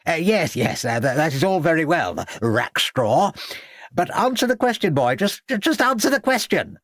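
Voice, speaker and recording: A man's voice: medium pitch, very animated, measured pace, slightly rough, no room sound, studio quality. A man voicing a fussy, flustered elderly headmaster who stammers and speaks pompously.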